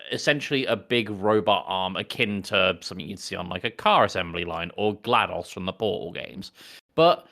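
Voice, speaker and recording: A man co-hosting a casual film podcast, explaining his points in a wordy, deliberate way and often correcting himself. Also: on the low side, pushed and bright. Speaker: podcast co-host. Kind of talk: casual film review chat.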